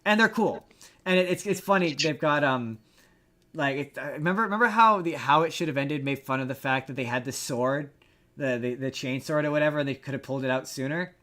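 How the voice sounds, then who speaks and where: A man chatting casually as a podcast co-host, explaining things in an informal, slangy way with halting repeats. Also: fairly high pitch, pushed and bright. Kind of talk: casual movie review chat.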